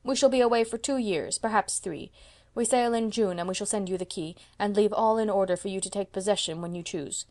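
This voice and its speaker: A woman's voice: mid-range voice, fairly quick, darkish tone, natural voice. A woman voicing a proud, decisive seventy-year-old spinster who owns a house and speaks with emphasis. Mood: emphatic. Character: brisk, proud elderly spinster landlady, 70.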